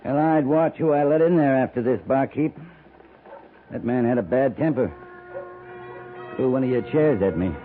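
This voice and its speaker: A man's voice: medium pitch, normal speed, long pauses, soft background music, close to an everyday voice. Man acting stern cavalry captain, tinny radio recording. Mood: dryly deadpan. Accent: American. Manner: commanding, terse, deadpan. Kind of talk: 1950s radio drama acting. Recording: noisy, narrow-band 1950s radio recording.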